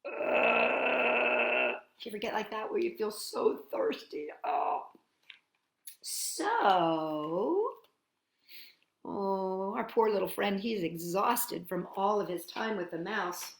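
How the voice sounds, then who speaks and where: A woman hosting storytime, reading a picture book aloud to young children in an animated, playful way with chatty asides. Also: mid-range voice, takes it slowly, vocal fry, pauses often, roomy sound.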